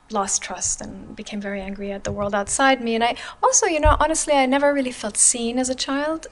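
Brief: woman from Germany, mid-pitched, guest on an interview podcast, open and reflective. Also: fairly bright tone. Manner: open, reflective, frequent "you know". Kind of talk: candid personal storytelling.

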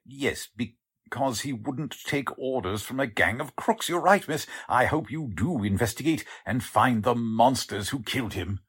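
A man's voice: medium pitch, animated, unhurried, fairly bright tone, slightly rough. A man playing an earnest, deferential warehouse clerk in 1940s radio drama style, polite and a little hesitant. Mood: bitter.

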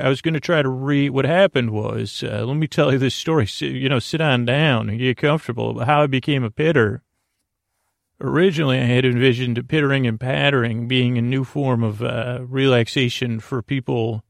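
Creaky-voiced man rambling gently off-track. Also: mid-range voice, steady tone, dry recording, studio quality. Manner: halting, meandering, repetitive, drifting into whimsical asides. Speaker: podcast host. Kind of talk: rambling bedtime podcast monologue.

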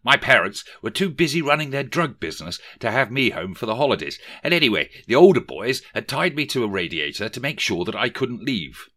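Shrill excitable miser guy, loud and high, swoops around a lot, a man putting it on. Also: a little fast, few pauses. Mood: excitable, whiny. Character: pompous money-obsessed male politician miser, excitable.

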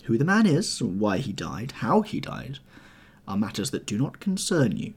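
A man voicing a desperate young gentleman who pleads urgently and tensely, in an audiobook reading. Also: mid-range voice, sing-song, normal speed, breathy edge. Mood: grave.

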